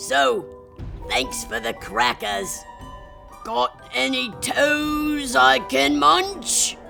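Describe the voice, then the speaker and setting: A woman voicing a big, friendly male monster in a children's storybook, with a gravelly growl and a chummy, playfully menacing manner. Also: high voice, animated, drawn-out words, bright, forceful tone, soft background music, full character voice. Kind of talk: children's storybook character reading.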